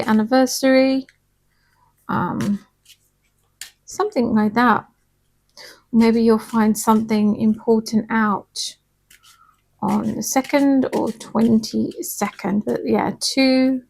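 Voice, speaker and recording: Slightly hoarse, high-voiced woman card reader. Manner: soft, chatty, reassuring, checks in with "okay?". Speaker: tarot and angel card reader. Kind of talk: casual spiritual card reading.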